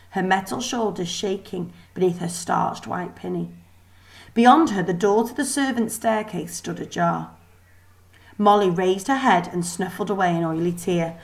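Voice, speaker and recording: A woman reading a children's novel aloud as expressive scripted narration. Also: mid-range voice. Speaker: storybook reader.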